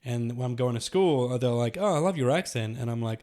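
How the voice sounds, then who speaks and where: A man's voice: medium pitch, expressive, dark tone. A man hosting a comedy podcast, chatting casually in a rambling way and agreeing often with the guest. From Australia. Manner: chatty, rambling, quick affirmations, laughs easily. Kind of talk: casual chat.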